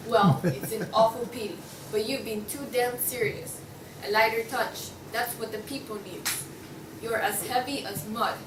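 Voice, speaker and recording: A woman's voice: on the high side, unhurried, natural voice. A woman reading the part of a breezy, blunt champion of frivolity in a stage play. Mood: breezy, insistent. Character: breezy, frivolous woman urging a lighter touch. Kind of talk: student table read of a stage play. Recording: distant echoey room with clear noise.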